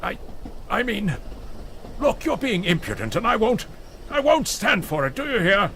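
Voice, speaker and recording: A male actor as a pompous, frightened man in an audio drama, indignant and stammering. The voice sits fairly high and bright, with a creaky edge. He sounds condescending and rattled, over a faint rumble of train noise. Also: sing-song, normal speed, heavily acted. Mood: agitated, insistent.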